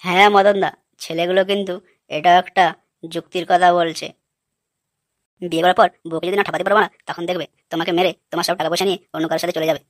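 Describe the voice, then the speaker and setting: A woman's voice: lowish voice, steady tone, fast talker, no room sound, studio quality, close to an everyday voice. A woman playing a blunt, persuasive male friend in a comic cartoon, with coarse, matter-of-fact talk.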